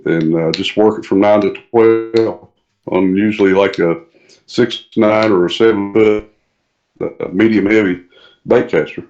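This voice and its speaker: Muffled call-in audio, guy with a deeper voice explaining stuff casually as a guest, practical and plain. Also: fairly even intonation, unhurried, a little mellow. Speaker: podcast guest, tournament angler. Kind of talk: casual explanatory interview chat.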